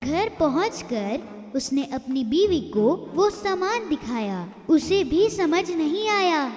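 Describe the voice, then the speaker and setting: A woman narrating a moral story in an expressive, sing-song storytelling manner. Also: extremely high pitch, slow. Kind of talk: scripted narration of a moral tale. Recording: music bed, long reverb.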